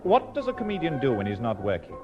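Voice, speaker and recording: A man's voice: medium pitch, huge pitch swings, quick pace. A man with received pronunciation narrating a 1950s radio comedy as announcer, formal and droll. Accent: British received pronunciation. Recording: muffled vintage radio broadcast. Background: loud music.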